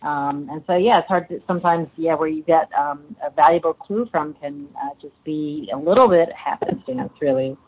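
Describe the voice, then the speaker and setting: A woman linguist answering interview questions in a measured, thoughtful way. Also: low voice, husky. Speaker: linguist guest. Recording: dull lo-fi sound.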